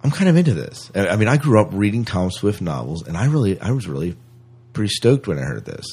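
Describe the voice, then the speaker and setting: A man with a very deep, husky voice hosts a casual podcast. He talks through the news in a conversational manner, rambling a little and hesitating as he goes. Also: sing-song, fast talker, a little mellow. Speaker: podcast co-host.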